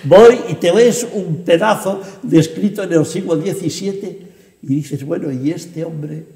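A man's voice: on the high side, measured pace, gravelly, mellow. A man in his seventies speaking emphatically and expressively as a guest in an interview conversation. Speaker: podcast guest, translator and editor. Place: indoor book-lined room. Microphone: lapel. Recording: echoey room with long reverb.

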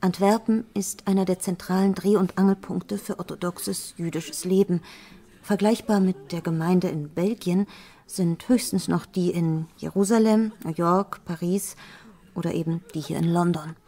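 An actress playing the composed widow of a rabbi, speaking German with a slight foreign accent in calm, measured explanations. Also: female voice, fairly low pitch. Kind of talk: audio drama acting.

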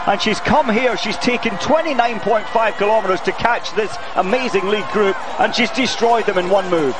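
High voiced guy doing color commentary, chatty and hyped, noisy broadcast. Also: moderate pace. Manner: enthusiastic, chatty, laughs often. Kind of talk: live race commentary. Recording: broadcast mix with background noise.

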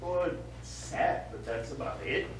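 A man's voice: mid-range voice, unhurried, natural voice. Guy playing a grumpy blunt husband type customer on stage, dismissive, noisy far-off camera sound. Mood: irritated. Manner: gruff, impatient, dismissive. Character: blunt, irritable, sarcastic male customer. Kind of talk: live stage comedy acting. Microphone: distant audience camera. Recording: echoey theatre, distant mic, clear noise.

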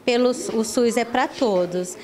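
A woman's voice: a little high, a little slow, a little mellow. A middle-aged woman with a Brazilian accent giving an explanatory interview as a pharmacy staff member, sometimes repeating words. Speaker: municipal pharmacy staff spokesperson. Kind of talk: interview answer. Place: small busy pharmacy office, indoors. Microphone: handheld reporter's microphone. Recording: busy room chatter behind.

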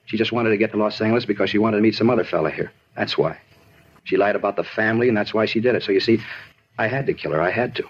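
Quick, husky-voiced American murder suspect. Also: male voice, on the low side. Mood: intense, self-justifying. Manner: rambling, repetitive, insistent, plain-spoken. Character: talkative murder suspect, earnest, aggrieved, disturbed man. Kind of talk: 1950s radio drama acting. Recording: dull vintage radio recording.

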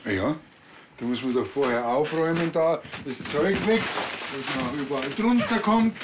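A man's voice: fairly high pitch, lively intonation, normal speed, heavy background noise, close to an everyday voice. An actor playing a sardonic, nosy village policeman in a TV crime comedy, with a Bavarian accent. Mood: fussy. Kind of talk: television crime comedy acting.

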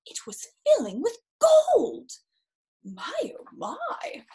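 A woman in her thirties reads a picture book for children in a high voice. Her pitch swings dramatically from line to line. She stretches words for effect and drops in chatty little exclamations as she goes. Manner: theatrical, expressive, drawn-out emphasis with exclamations. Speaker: storyteller reading a picture book. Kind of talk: children's story time read-aloud with chatty asides. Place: small room indoors, seated in an armchair.

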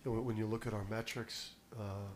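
A man's voice: fairly low pitch, flat delivery, brisk, a little hiss or hum. A middle-aged man, a basketball head coach, answering press questions with confident, emphatic talk and restarts, into a table mic in a press room. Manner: confident, emphatic, repeats words when starting. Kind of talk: postgame press conference answers. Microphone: table mic on a stand.

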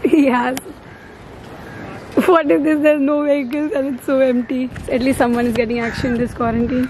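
A woman's voice: high-pitched, steady tone, moderate pace, darkish tone, poor audio. A woman, chatting and teasing her friends on a casual vlog, recorded on a phone held at arm's length. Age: 20s. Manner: giggly, teasing, lots of laughter. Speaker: vlogger. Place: outdoors on a city seafront and in a car. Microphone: handheld phone camera at arm's length. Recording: street noise in the background.

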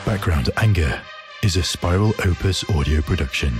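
A man announcing scripted drama podcast credits in measured, portentous short phrases. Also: sits low, expressive. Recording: music under the announcement.

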